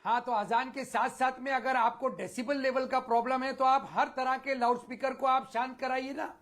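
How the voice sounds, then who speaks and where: Combative Indian man arguing at high pitch. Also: level tone, moderate pace, a bit bright. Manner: combative, repetitive, talks over others. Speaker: debate panelist. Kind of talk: heated argumentative TV debate.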